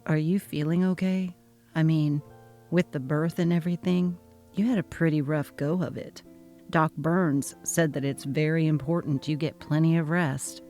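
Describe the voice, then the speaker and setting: A woman voicing a young husband who talks calmly and dismissively. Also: deep voice, normal speed, faint music behind, natural voice. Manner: calm, brushing off, patronizing. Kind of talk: dramatic reading of a text-message story.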